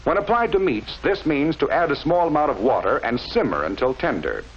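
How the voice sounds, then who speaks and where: A dull, slightly noisy vintage film soundtrack carries a man narrating in 1940s training film style. His voice sits a little high and he explains in a measured, didactic manner, enunciating each term with care. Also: lively intonation.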